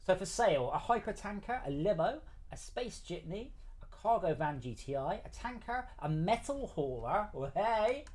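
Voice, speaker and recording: Male game streamer and developer, casual and rambling, teasing, with animated, swooping delivery. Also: high voice, moderate pace, hardly any pauses.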